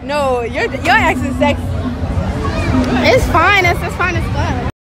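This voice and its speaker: A woman's voice: high voice, expressive, measured pace. A young woman answering a street interviewer with blunt, teasing remarks. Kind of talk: casual street chat. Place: busy outdoor fairground. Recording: loud crowd and music, voice off mic.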